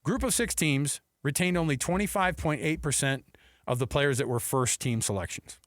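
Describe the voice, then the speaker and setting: Male sports radio host, slightly high voice, dry close sound, casual and conversational. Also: moderate pace, dry recording.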